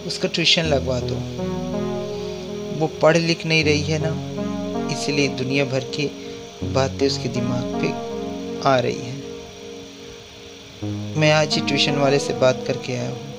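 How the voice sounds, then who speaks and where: A man acting a calm, skeptical husband in a dubbed horror drama, soothing and dismissing his wife's fears. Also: mid-range voice, measured pace, long pauses, natural voice. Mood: reassuring. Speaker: husband and father. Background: music.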